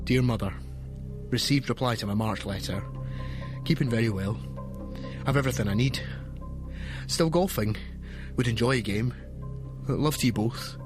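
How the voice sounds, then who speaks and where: A male actor voices a grown son reading his brief letter home to his mother. His voice sits at a middle pitch. Loud music plays beneath it, as in a radio documentary. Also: moderate pace, long pauses, natural voice. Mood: plain, affectionate.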